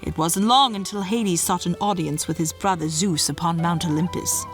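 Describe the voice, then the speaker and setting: A woman giving a scripted storytelling narration in a measured, dramatic way. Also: fairly low pitch, soft background music.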